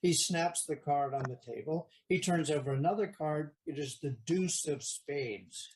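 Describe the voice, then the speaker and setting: A man's voice: a little high, a little slow, a little mellow, slight background noise, low-quality recording. A man narrating an audio play, reading out scripted stage directions.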